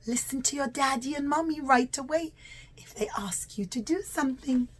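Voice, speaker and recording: A woman's voice: high voice, moderate pace. A woman telling a story to young children as a teacher, with simple, emphatic, repetitive phrasing. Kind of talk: animated children's puppet-show storytelling.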